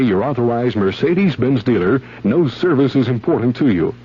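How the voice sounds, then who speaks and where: A man's voice: mid-range voice, husky. An American man reading a scripted 1970s radio commercial as the announcer. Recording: dull old radio recording.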